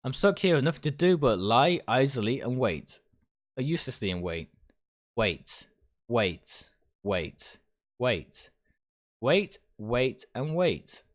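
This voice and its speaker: A man's voice: medium pitch, very animated, slow pace. A man livestreaming a visual novel, reading its text aloud and stumbling over phrases now and then. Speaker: video game streamer.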